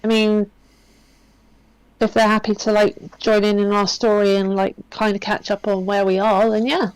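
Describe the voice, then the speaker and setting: A woman's voice: medium pitch, flat delivery, clean tone, no echo, low-quality recording. A woman player chatting casually, easygoing and stumbling over her words. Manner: easygoing, stumbling, quick to laugh along. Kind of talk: casual chat between game sessions.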